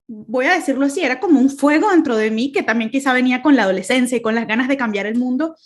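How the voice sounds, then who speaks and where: A woman with a Caracas Venezuelan accent speaks over a video call, her voice sitting a little high. She talks earnestly, pausing often with fillers and starting her sentences again. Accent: Venezuelan Spanish, Caracas. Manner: earnest, many fillers and restarts. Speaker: guest speaker. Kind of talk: online faith talk.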